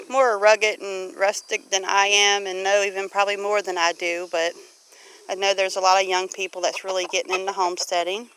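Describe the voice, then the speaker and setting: A middle-aged woman with a bright, forward voice talks casually, like a homesteader sharing advice with followers. She is earnest and encouraging, with a plain folksy way of putting things. There is some light noise behind her in an amateur recording. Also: mid-range voice, low-quality recording. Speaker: homesteader vlogger. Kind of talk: casual chat to camera about homesteading.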